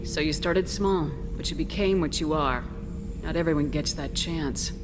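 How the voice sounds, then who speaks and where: An actress voicing a female military starship commander in a video game, calm, steady and direct in her questioning. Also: deep voice, moderate pace, background noise, lightly acted. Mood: calm, curious.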